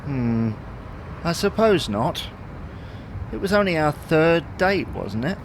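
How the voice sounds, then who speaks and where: A British actor playing a hapless middle-aged husband in a domestic audio sitcom, mild, put-upon and wryly grumbling. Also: male voice, on the high side, sing-song, slow, slight background noise, heavily acted.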